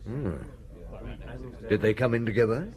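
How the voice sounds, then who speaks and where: An actor playing a measured, probing police chief inspector in a radio drama, dry and reserved, asking terse questions. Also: male voice, mid-range voice, darkish tone, lightly acted. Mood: inquisitive. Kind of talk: radio drama dialogue and narration.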